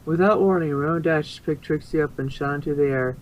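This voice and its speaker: A man's voice: fairly high pitch, fairly quick, a little hiss or hum, rough recording. A man narrating a story in an amateur reading, stumbling now and then and rereading phrases.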